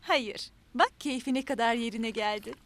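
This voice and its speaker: A woman playing a gentle, caring mother in a children's cartoon, explaining things tenderly and patiently. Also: on the high side, normal speed. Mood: reassuring. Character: gentle, caring young mother of a little boy.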